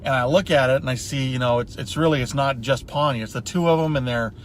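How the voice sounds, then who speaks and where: A man's voice: mid-range voice, quick pace, a little hiss or hum. A man hosting a casual interview, chatty and enthusiastic, heaping praise on his guests. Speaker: host.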